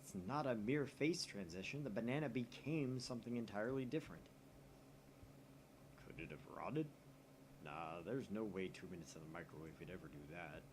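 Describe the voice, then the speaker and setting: A man's voice: lowish voice, lively intonation, normal speed, darkish tone, slight background noise, voice pushed down, close to an everyday voice, rough recording. A man reading the dialogue of bickering young male visual novel characters in a dry, argumentative manner. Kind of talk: dialogue read aloud.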